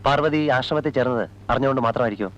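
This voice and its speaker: An actor playing a police investigator in a film drama, putting pointed, probing questions to a witness. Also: male voice, mid-range voice, rapid-fire, a bit bright, close to an everyday voice, low-quality recording.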